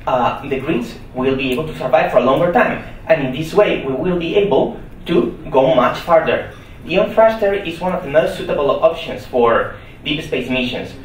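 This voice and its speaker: A man's voice: fairly high pitch, lively intonation, moderate pace, a little hiss or hum. A man giving a technical conference talk, explaining step by step and posing rhetorical questions with fillers. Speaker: conference presenter. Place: conference hall. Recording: echoey hall recording from a distance.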